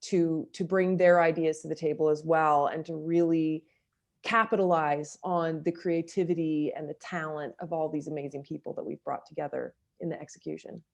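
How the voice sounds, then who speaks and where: A woman's voice: sits low, fairly even intonation, moderate pace, gravelly. A woman chatting casually as a guest director in an interview.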